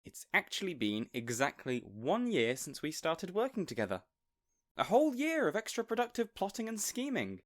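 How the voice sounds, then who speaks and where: A man's voice: high-pitched, huge pitch swings, normal speed. A man playing an affable supervillain boss in an audio roleplay, chatty and awkwardly earnest, playfully bossy with an assistant. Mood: earnest, appreciative. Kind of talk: audio roleplay acting addressed to listener.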